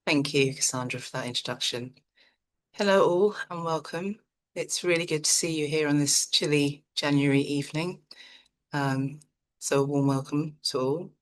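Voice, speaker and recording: A middle-aged woman from Nigeria, a psychotherapist presenting an online seminar in a calm, measured, reflective way. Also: sits low.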